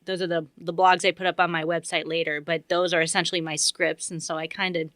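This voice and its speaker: Bright-voiced, lowish woman, casual guest. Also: flat delivery, quick pace, dry recording, clean studio sound. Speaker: interview guest, volunteer radio host. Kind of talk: casual chat. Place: community radio studio.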